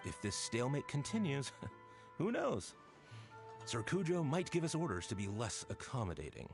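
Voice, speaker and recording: An actor playing a polite, dutiful soldier in a video game, speaking formally and courteously. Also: male voice, medium pitch, very animated, normal speed, gravelly. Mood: polite, firm. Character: polite, dutiful male soldier under strict orders. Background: game music.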